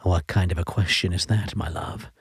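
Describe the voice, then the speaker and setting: A man voicing a devoted, worried farmer husband who speaks low and tenderly. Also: fairly even intonation, moderate pace, husky, no echo, clean studio sound.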